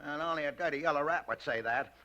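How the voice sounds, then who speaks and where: Swaggering 1940s radio gangster, high-pitched and piercingly forceful, clipped threats, faint music under. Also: male voice, quick pace, highs cut off. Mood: snarling, tough. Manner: clipped tough-guy talk, tagging orders with "see?". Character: swaggering, menacing movie gangster, a clerk's daydream self.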